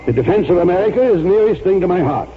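An actor playing a stubborn, pompous retired colonel in his sixties, speaking deliberately in a 1940s radio drama. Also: sits high, expressive, slight background noise. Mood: resolute, orating. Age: 60s or older. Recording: dull vintage radio broadcast, faint music.

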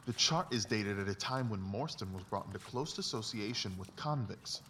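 A man's voice: fairly low pitch, lively intonation, moderate pace, a bit bright. A man playing a confident, analytical Victorian detective who explains things in a precise, emphatic, didactic way, as in a dramatised audiobook. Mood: brisk, assured explaining. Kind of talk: dramatised literary audiobook dialogue. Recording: distant mic, faint background noise.

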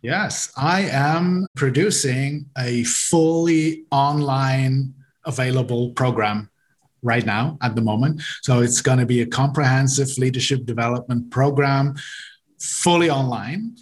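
A man's voice: medium pitch, measured pace. Male podcast guest, native German speaker from Switzerland, explanatory, a few restarts. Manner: explanatory, uses garden metaphors, repeats words. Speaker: podcast guest, leadership coach. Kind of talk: interview conversation.

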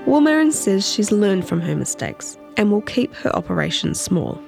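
A creaky-voiced woman with a New Zealand accent narrates a longform news story from a script. Her pitch sits in the middle range, and faint music plays along with her reading. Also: big pitch swings, normal speed, a little mellow. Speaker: narrator and reader of longform journalism.

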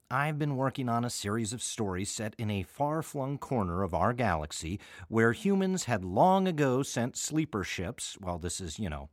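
Casting: American man, middle-aged, radio host, chatty and wry, animated pitch, very dry sound. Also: no room sound. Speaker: artistic director of an audio theater, host. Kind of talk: conversational radio introduction.

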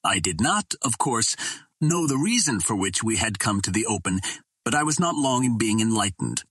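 A man narrates a classic adventure audiobook in a fairly deep voice with a noticeably husky grain. His delivery is formal and measured, like careful storytelling from the page. The sound is dry, with very little room around it. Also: sing-song, a bit bright, no room sound.

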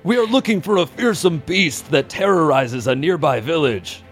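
A man's voice: a little high, expressive, normal speed, soft background music. A man voicing a brawny, boastful half-elf hero, declaiming in mock-heroic radio drama style. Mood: bold, bombastic. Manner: declamatory, bombastic.

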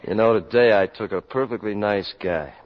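An American actor playing a weary, self-reproaching public health doctor and husband in a 1950s radio drama. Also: male voice, fairly low pitch, normal speed, close to an everyday voice. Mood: tired, contrite. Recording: dull lo-fi 1950s radio recording.

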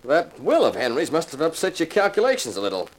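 An actor playing a tough, wry private detective who narrates in a laconic 1950s radio crime drama style. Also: male voice, on the high side, wildly swooping pitch, fast talker. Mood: cool, goading. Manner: hard-boiled, sardonic, laconic. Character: tough, wry male private detective, cool under threat. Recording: noisy vintage radio recording.

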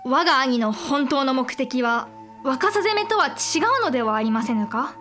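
Young samurai-era noblewoman, high voice, calm n clever, very formal old-style speech, bit of music under it. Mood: composed, assured. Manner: formal archaic speech, measured and assured. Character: composed, shrewd young noblewoman of warring-states Japan. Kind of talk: period audio drama acting.

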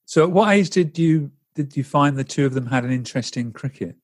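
A man's voice: mid-range voice, animated, a little fast, a little mellow. A man hosts a podcast interview in a chatty, easygoing way. He often hesitates and restarts sentences, filling gaps with "um" and "you know". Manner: chatty, hesitant with many fillers. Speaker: podcast host.

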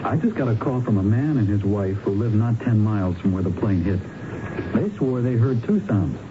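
A man's voice: fairly low pitch, normal speed, close to an everyday voice. Man acting an eager American patrol sidekick, muffled radio. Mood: reporting. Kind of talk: 1970s radio drama acting. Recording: dull, noisy old radio recording.

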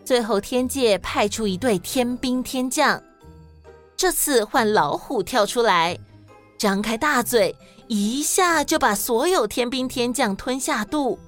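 A woman with a Taiwanese Mandarin accent narrating and explaining in a scripted, expressive style for children. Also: a little high, measured pace, faint music behind. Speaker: children's story podcast host and narrator.

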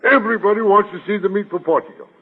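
A man's voice: high voice, a little fast, slightly rough. An actor playing a gullible, eager night watchman with a Spanish accent in an old-time radio drama. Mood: obliging. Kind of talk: old-time radio comedy-adventure acting. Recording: muffled, noisy, reverberant old radio recording.

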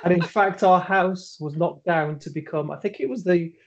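Man from Birmingham with a Birmingham accent, softly hesitant. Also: high-pitched, moderate pace, dull audio. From Birmingham, England. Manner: hesitant, many fillers and restarts, laughs at himself. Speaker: interview guest. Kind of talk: podcast interview.

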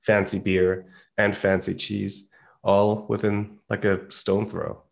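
A man from Switzerland, a musician guest talking on a video call, relaxed and joking, with loose "like"s. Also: on the low side, takes it slowly, creaky. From Basel, Switzerland. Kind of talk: casual interview chat.

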